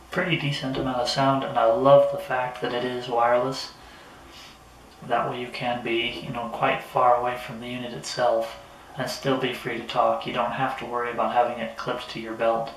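A middle-aged man talks casually through a product review in an unhurried, mild manner. His pitch stays fairly flat. He is picked up from across a small, echoey room, with clear noise in the recording. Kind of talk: casual unboxing and gear review, talking to camera. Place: small indoor room at a table. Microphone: camera a few metres away. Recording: roomy, distant camera mic with noticeable noise.

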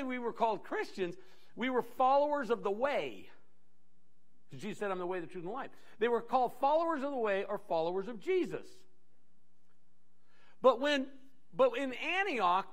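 A middle-aged man preaching a sermon on a church stage, animated, pacing with many restarts. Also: very high-pitched. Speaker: pastor. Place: church stage in a large dim hall.

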